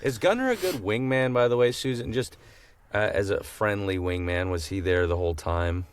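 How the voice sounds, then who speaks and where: A man's voice: fairly low pitch, normal speed, clean tone, no room sound. An American man co-hosting a casual podcast chat in a playful, teasing manner. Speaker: podcast co-host.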